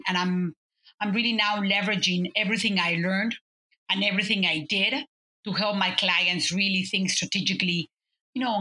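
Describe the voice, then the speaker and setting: A bright, clear-toned woman in her fifties with a Mexican Spanish accent, her first language being Spanish. She tells her story warmly and loosely, pausing on "uh" and "um" and restarting her phrases as she goes. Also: fairly low pitch. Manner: warm, storytelling, many fillers and restarts. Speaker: guest, business consultant. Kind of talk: conversational interview.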